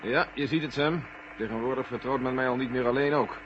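A man in a radio play acting a husky-voiced, sceptical, sardonic army general. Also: medium pitch, fairly quick, noisy background, lightly acted. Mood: brisk, familiar. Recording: dull old radio play recording.

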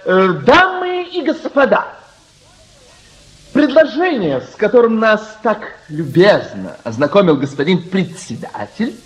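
Flustered courteous delegate, very high voice, swooping intonation, hesitant, formal, radio drama. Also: male voice, big pitch swings, unhurried, rough recording. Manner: hesitant, hemming, formal and polite. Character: flustered, courteous male Dutch delegate at a conference. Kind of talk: radio play acting.

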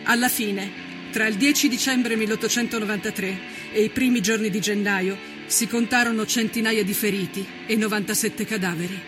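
A man narrating a scripted historical account for radio as a storyteller, in a solemn, measured, declamatory manner. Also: high voice, steady tone, music in the background.

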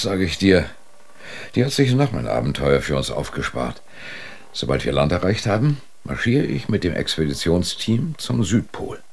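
A man's voice: sits low, heavily acted. An actor playing a proud, commanding male expedition leader in a radio drama, emphatic and authoritative. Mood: grave, resolute. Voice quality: gravelly, husky.

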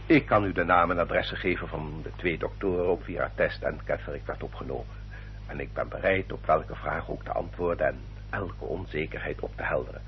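An actor playing a courteous, self-justifying English baronet in a radio drama, with a formal, polished and elaborately polite manner. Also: male voice, extremely low pitch, fairly quick. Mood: smoothly self-justifying. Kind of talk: old radio drama acting. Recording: dull old radio recording, faint hum.

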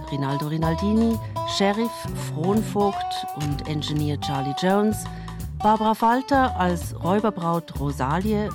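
A female announcer delivers scripted radio credits. Her voice sits at a middle pitch, and loud guitar music plays behind her throughout.

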